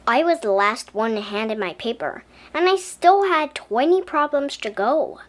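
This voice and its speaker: A woman reading a children's story aloud in an expressive, deliberate storybook style. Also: sits high. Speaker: children's book reader. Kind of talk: expressive scripted storybook narration as a young boy.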